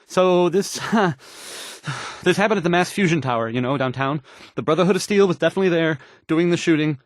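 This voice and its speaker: A man's voice: a little high, moderate pace, dry recording, studio quality, natural voice. An actor playing a nervous, awkward young male radio DJ in a game, stammering and hesitating through the news, rambling and unsure of himself. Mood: hesitant, awkward. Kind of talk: fumbled radio news report in a video game.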